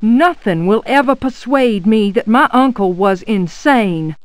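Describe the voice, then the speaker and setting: An actress playing an anxious but resolute genteel niece, narrating a gothic horror game in a formal, dramatic manner. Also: female voice, on the high side, animated, unhurried, smooth, no room sound. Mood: pensive, uneasy. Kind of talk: scripted game monologue narration.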